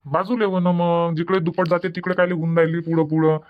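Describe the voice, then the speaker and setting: A grumbling old village husband, voiced by a man, complains and lectures in a dubbed cartoon comedy. He speaks with a Varhadi Marathi accent in a rather high voice. The recording sounds dull and lo-fi. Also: level tone, quick pace, natural voice. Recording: dull lo-fi audio.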